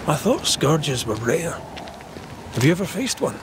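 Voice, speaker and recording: A man playing a blunt, sardonic Highlander ghost hunter in a video game, with a Scottish accent and colloquial speech. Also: sing-song, a little slow, noisy background. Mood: curious. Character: wry, blunt Highlander banisher.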